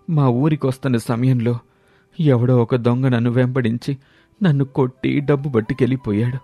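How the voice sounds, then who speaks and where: A man's voice: medium pitch, warm tone, no room sound, voice pushed up, close to an everyday voice. A man voicing an old male trader who has just been robbed, speaking in a raised, strained, pleading tone. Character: older male trader, frail and shaken.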